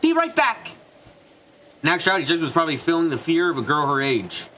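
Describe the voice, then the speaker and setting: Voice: medium pitch, rapid-fire, pushed and bright, slight background noise. A streamer doing a let's play, reading a visual novel's script aloud and stumbling over some words as they go. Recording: game music behind the voice. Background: game music.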